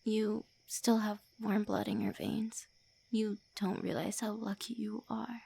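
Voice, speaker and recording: A woman voicing a lonely, prickly female vampire who is centuries old, in an ASMR roleplay, often speaking softly or in whispers. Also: medium pitch, flat delivery, measured pace, vocal fry, slightly rough, heavily acted. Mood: bitter. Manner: tsundere, sharp then wistful.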